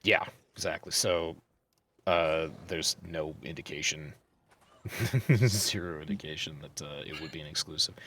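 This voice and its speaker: A man with a very low voice hosts a podcast. He talks through the news casually, in halting, hesitant phrases. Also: pushed and bright, a little hiss or hum. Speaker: podcast host.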